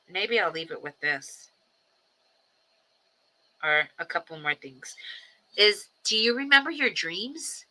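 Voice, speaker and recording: A woman in her forties hosting a casual livestream, speaking in an earnest, rambling way with many ums and restarts, steady through her grief. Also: on the low side, poor audio. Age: 40s. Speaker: livestream host. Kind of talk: casual reflective livestream talk.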